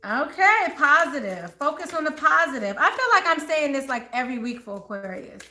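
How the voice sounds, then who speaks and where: Bright, chatty woman reading tarot cards. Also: sits high, sing-song, brisk. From born in Texas, raised in the Maryland and DC area. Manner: chatty, laughs often, talks to viewers. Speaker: tarot reader. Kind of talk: live tarot reading chat. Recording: echoey room with faint noise.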